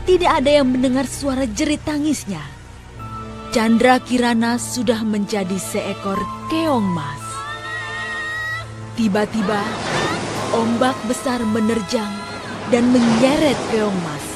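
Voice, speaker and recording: A young woman tells a children's folk tale. Her voice is fairly high, and music plays behind the scripted narration. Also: takes it slowly, long pauses. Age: young adult. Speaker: storyteller, student reading a folk tale.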